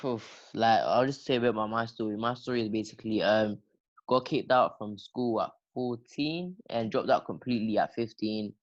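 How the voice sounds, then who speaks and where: A man's voice: on the low side, moderate pace, dry recording, lo-fi sound. A teenage boy, chatting casually as a podcast guest, rambling with lots of fillers, slang and laughs. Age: teens. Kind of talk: casual chat.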